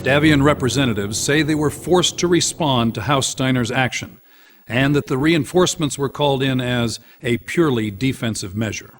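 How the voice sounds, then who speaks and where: A man's voice: mid-range voice, moderate pace, a little hiss or hum, natural voice. An actor playing a serious male television reporter delivering scripted news in a game. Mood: grave.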